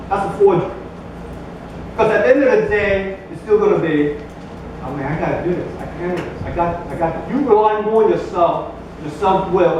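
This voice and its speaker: A man's voice: sits high, darkish tone. A male pastor giving a sermon, conversational and joking, building to emphatic bursts. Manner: conversational, jokey preaching with rising emphatic bursts. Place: church sanctuary. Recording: echoey church from a distance with background noise.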